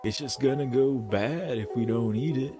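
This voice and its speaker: A man's voice: medium pitch, moderate pace, natural voice. An actor playing a modest, easygoing former shoe salesman in a comic dub. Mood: matter-of-fact. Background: very loud background music.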